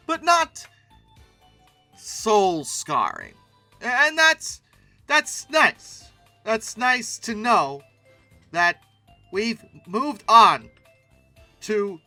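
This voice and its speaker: A man's voice: high voice, sharp tone, no echo. A man giving casual, humorous commentary in a theatrical way, repeating words and adding mock-exasperated asides. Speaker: host reviewing old paleoart.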